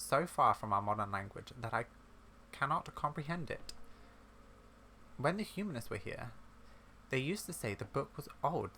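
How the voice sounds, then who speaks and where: A performer reading as a bookish old-library keeper in a fantasy game. The delivery is formal and musing, a little grumbling, with lively rises and falls. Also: medium pitch, a little hiss or hum.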